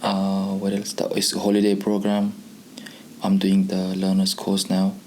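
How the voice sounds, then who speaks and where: A man's voice: deep voice, monotone, a little slow, a little mellow, a little hiss or hum. A man in his twenties from Pakistan, a non-native English speaker, telling his own story casually. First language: not English. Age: 20s. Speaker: youth programme participant. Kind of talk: casual personal testimony.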